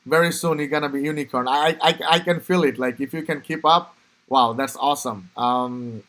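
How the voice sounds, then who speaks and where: A man's voice: mid-range voice, moderate pace, a bit bright. A man hosting a podcast interview, asking questions in an eager way and repeating praise. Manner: enthusiastic, repeats praise like "awesome". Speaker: podcast host. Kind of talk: casual interview.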